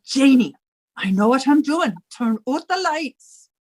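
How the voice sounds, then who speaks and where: A woman's voice: high voice, lively intonation, a little slow, darkish tone. A woman playing a theatrical, bossy older Irish widow and landlady in a radio comedy, given to wailing. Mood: bossy, insistent. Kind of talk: 1950s radio comedy acting.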